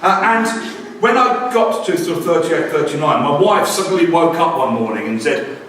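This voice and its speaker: A man's voice: fairly high pitch, expressive, moderate pace, a bit bright. A man in his forties telling a wry, self-deprecating personal story about family life as a language teacher. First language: English. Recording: very echoey room, distant mic.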